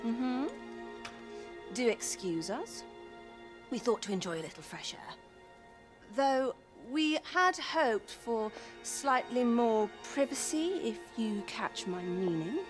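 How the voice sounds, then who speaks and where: A woman's voice: on the high side, big pitch swings, faint music behind. An actress playing a poised, secretive noblewoman in a video game, with coy, haughty, formal speech. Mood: teasing, coy. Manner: coy, haughty, measured, archaic formal phrasing. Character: poised, sly, teasing noblewoman, secretive and imperious. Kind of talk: video game cutscene acting.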